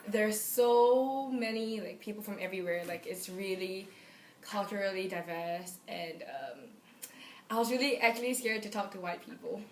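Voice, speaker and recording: A woman's voice: medium pitch, moderate pace, a little hiss or hum. Female student in her twenties, raised in Singapore, giving a casual testimonial in a mid-range voice. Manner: soft, candid, with likes and ums. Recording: echoey room.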